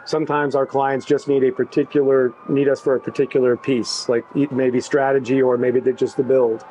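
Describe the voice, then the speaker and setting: A middle-aged man talking about his work in an easygoing, halting way, with many restarts. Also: medium pitch, flat delivery, dark tone, slight background noise, rough recording. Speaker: tech executive, interviewee. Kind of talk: casual backstage interview.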